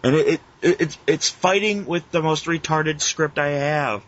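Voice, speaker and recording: A man's voice: a little high, normal speed, a bit bright. A man co-hosting a podcast, chatting casually with friends in a grumbling, profane way. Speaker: podcast co-host. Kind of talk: casual group chat. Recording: muffled lo-fi audio, background noise.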